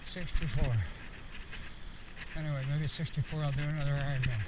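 A man's voice: on the high side, fairly even intonation, a little fast. A man of about sixty giving a candid, rambling, reflective monologue as a vlogger, recorded outdoors on a bike ride with an action camera. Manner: candid, meandering, self-reflective. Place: outdoors, cycling on a rural road. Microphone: action camera on the bike or rider. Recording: outdoor wind and road noise.

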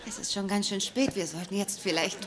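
A woman's voice: medium pitch, steady tone, a little fast, heavy background noise, lightly acted. An actress playing a friendly, casual young girlfriend in a German-dubbed sitcom. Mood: awkward.

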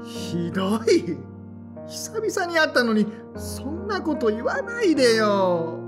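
A man's voice: very high-pitched, wildly swooping pitch, takes it slowly, heavily acted. An actor playing an earnest, emotional young man who dreams of acting, both narrating and speaking in an audio drama. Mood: sulky. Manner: earnest, emotional, sighs and pleads. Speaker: narrator and lead character. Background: soft background music.

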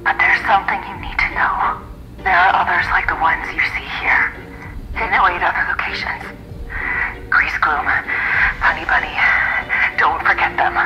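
An actor playing a regretful video game character, hesitant and solemn, confessing on a secret tape. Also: male voice, sits high, steady tone, normal speed, long pauses, lightly acted, poor audio. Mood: grave, regretful. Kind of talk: grave recorded confession. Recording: radio-like game tape over music.

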